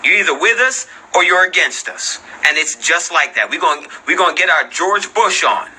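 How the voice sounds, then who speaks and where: A male host gives blunt, unscripted commentary in a high-pitched voice. His tone is very bright and hard-edged. He swears freely, repeats himself to drive points home and challenges listeners with rhetorical questions. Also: expressive, moderate pace, breathy edge, rough recording. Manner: blunt, profane, repeats phrases for emphasis. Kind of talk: unscripted commentary on read-out articles and clips.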